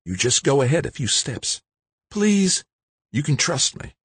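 A male narrator acts out a big burly thriller hero from an audiobook. He sounds urgent and protective, his husky voice lifted well up as he reassures. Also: medium pitch, sing-song, measured pace, dry recording, voice pushed up, heavily acted. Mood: urgent, reassuring.

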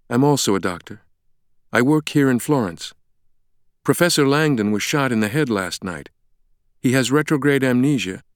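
A man voicing a brisk, blunt woman doctor in an audiobook, direct, assertive and matter-of-fact. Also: medium pitch, no echo, studio quality, voice pushed up, lightly acted. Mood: brisk, in charge. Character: brisk, blunt young woman doctor who takes charge.

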